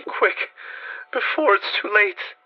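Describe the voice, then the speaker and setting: A man's voice: sits high, lively intonation, takes it slowly, highs cut off, voice pushed up. A man voicing a desperate, trapped man who pleads and cries out warnings from below. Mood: pleading. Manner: desperate, pleading, rising to shrieks.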